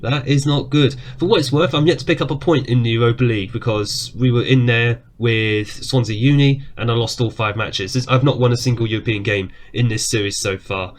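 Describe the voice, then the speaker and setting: A man's voice: medium pitch, fairly quick, hardly any pauses. A man with a cough giving casual, chatty commentary as he plays a football management game at his desk, reacting aloud to the match. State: has a cough. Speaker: gaming YouTuber.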